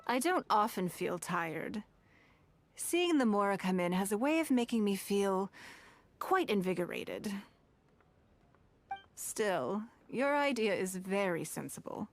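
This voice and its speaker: A composed, wealth-minded businesswoman in a game cutscene. She talks in a calm, measured, elegant manner at a middle pitch. Her tone stays gracious and self-assured. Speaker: game character. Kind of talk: video game voice acting.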